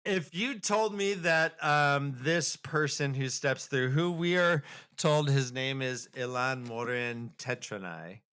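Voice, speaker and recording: A man co-hosting a casual, comedic podcast, retelling a story in an animated, jokey and halting way. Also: mid-range voice, clear, resonant tone. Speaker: podcast co-host.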